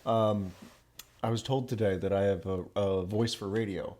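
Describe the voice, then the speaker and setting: A man's voice: lowish voice, steady tone, normal speed, a little mellow. A young man co-hosting a podcast, chatting playfully and crudely. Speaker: podcast co-host. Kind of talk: casual banter.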